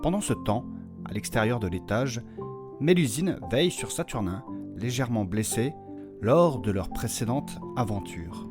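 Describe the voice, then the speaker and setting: A man's voice: medium pitch, expressive, moderate pace. A man reading a scripted narration, recapping a fantasy audio drama. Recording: loud music bed. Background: music.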